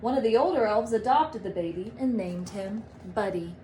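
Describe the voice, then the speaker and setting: A woman reading a story aloud to children like a teacher, with animated, drawn-out storybook phrasing. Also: medium pitch, slight background noise, rough recording. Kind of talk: expressive read-aloud storytelling.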